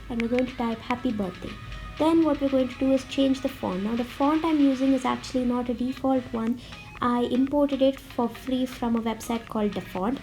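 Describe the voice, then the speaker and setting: A woman with a fairly high, mellow voice walks through a drawing tutorial in a friendly, easy manner. She sounds a little under the weather. Soft music plays faintly underneath. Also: rough recording. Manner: friendly, relaxed, explaining as she draws. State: slightly sick voice. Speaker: art tutorial host. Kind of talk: step-by-step drawing tutorial voice-over. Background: faint background music.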